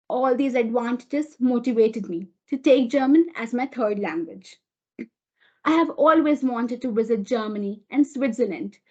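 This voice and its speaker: Husky-voiced schoolgirl giving a formal speech. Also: a little high, darkish tone. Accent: Indian English. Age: teenager. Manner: formal, recited, bilingual greeting. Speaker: student speaker. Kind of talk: prepared speech read aloud. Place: school auditorium. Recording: dull lo-fi audio.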